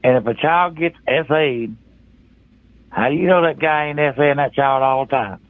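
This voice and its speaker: A man's voice: mid-range voice, normal speed, no echo. A man calling in to a talk show, speaking casually and colloquially, folksy and emphatic, often repeating himself, over a muffled phone line.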